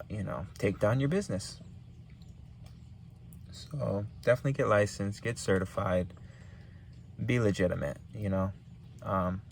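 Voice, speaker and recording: A man's voice: low-pitched, vocal fry, slight background noise. A young man from Hawaii with a Hawaiian accent, giving casual, rambling business advice with lots of ums and repeats.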